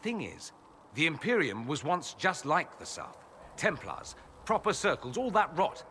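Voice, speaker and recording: A sardonic, bright-voiced mage. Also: male voice, fairly high pitch, big pitch swings, unhurried, a little hiss or hum, exaggerated voice. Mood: wry, cautioning. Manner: witty, dry, theatrical sarcasm. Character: urbane, sardonic male mage from a foreign empire. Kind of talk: video game dialogue acting.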